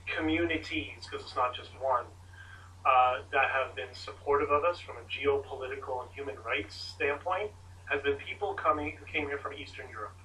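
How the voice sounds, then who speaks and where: A Canadian man answering press questions emphatically and telling animated stories. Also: mid-range voice, moderate pace, recorded from a distance, slight background noise, rough recording.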